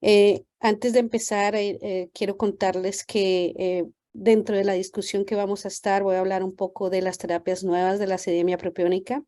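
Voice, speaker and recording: A woman's voice: mid-range voice, little pitch movement, moderate pace, hardly any pauses, warm tone. A woman physician welcoming parents on a video call, speaking hesitantly with many fillers. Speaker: pediatric geneticist giving a talk.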